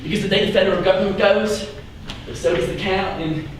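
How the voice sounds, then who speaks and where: An actor playing an earnest, simple, eager teenage farmhand in a live stage play, with a halting folksy way of talking. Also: male voice, high-pitched, fairly even intonation, lightly acted. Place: indoor theater stage, recorded from afar. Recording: very echoey theater, distant mic, noisy.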